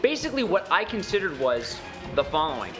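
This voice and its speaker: A man's voice: on the high side, sing-song, brisk, over music. A young man arguing his case in an insistent, self-assured, lecturing way. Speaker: visiting guest. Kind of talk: animated game argument.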